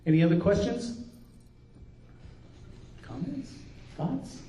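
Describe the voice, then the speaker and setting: A man's voice: high voice, expressive, slow pace, warm tone, slight background noise. An older man, a pastor, giving an earnest, folksy workshop talk with frequent restarts. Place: conference room. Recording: dull, roomy recording from a distance.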